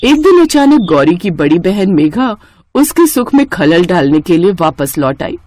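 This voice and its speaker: A woman's voice: fairly low pitch, very animated, measured pace, a little mellow, no echo. A woman reading scripted narration for a moral story as its narrator.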